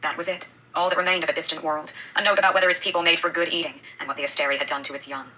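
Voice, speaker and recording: A woman's voice: low-pitched, fairly even intonation, racing, very noisy. A woman reading scripted fiction as an audiobook narrator.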